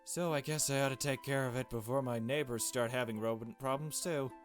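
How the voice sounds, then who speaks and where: A man voicing a mild, flustered gentleman from a visual novel, with fussy, effusive politeness. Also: mid-range voice, fairly even intonation, fairly quick, soft background music, pitched above the performer's own voice, lightly acted. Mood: embarrassed, indignant. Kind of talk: visual novel dialogue read aloud.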